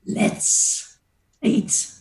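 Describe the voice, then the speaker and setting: A man's voice: drawn-out words, a little mellow. A man voicing a savage, hungry ratman warrior with a hissing, menacing delivery in a tabletop game. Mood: hungry menace. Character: ravenous screeching ratman warriors, savage and gleeful. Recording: roomy echoing home recording.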